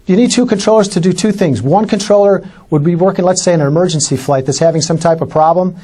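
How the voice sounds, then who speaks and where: Fast, high, husky man explaining. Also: warm tone. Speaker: former air traffic controller, interviewee. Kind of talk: informal interview explanation.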